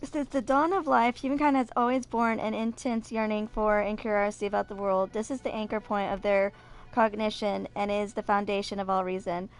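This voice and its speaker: Clear-voiced young woman hosting a gaming video. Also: medium pitch, level tone, a little fast. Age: 20s. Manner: stumbles and corrects herself when reading. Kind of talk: casual commentary and reading game lore aloud.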